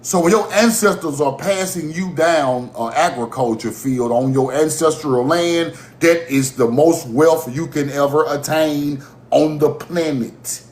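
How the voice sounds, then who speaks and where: A man holds forth on a livestream, his high voice climbing as he rants, swears and laughs at his own points. The sound bounces around an echoey room. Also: a little slow. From Mississippi. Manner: animated, profane, laughing rants. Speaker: livestream host. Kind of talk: heated livestream monologue.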